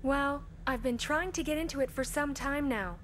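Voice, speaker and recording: An analytical anime ship officer with a high voice. She is precise, dutiful and businesslike. Clear ambient noise sits behind her. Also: moderate pace, a bit bright. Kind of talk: anime dub acting.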